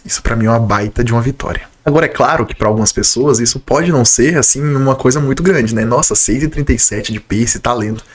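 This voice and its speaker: A young man with a Brazilian Portuguese accent talks fast and eagerly straight to his viewers in a casual vlog. His voice sits at an ordinary middle pitch and has a slight nasal, stuffy quality from a cold. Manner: conversational, enthusiastic, direct address to viewers. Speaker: nutritionist vlogger. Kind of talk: casual talking-to-camera vlog.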